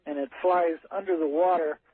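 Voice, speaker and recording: A man tells his account over a tinny telephone line to a radio show. His voice is husky and a little high, and he speaks haltingly, in a matter-of-fact technical manner. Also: fairly even intonation, no room sound. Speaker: caller, ship's captain. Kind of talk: unscripted storytelling on a call-in show. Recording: narrow muffled phone line on radio.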